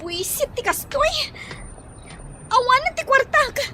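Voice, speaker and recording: Bratty demanding woman in a radio soap, really high sharp voice, swings all over. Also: sing-song, slow, exaggerated voice. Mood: frustrated. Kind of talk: Ilocano radio drama acting.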